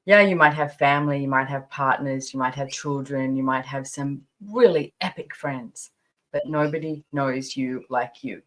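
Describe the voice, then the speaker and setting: Low-voiced woman in her fifties, chatty. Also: moderate pace, fairly bright tone. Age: 50s. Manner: chatty, emphatic, repeats phrases for stress. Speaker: podcast host. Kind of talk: casual personal storytelling monologue.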